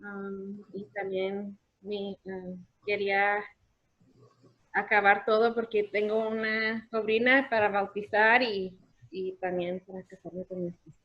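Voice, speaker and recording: A woman's voice: mid-range voice, level tone, measured pace, muffled recording. A woman answering informally as a class participant, hesitant and repeating her words.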